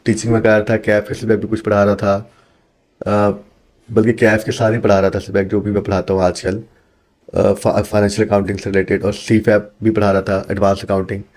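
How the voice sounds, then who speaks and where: A man's voice: on the low side, level tone, brisk, darkish tone. A man from Pakistan, an accounting teacher, answering interview questions in an earnest, explanatory way and mixing in English phrases.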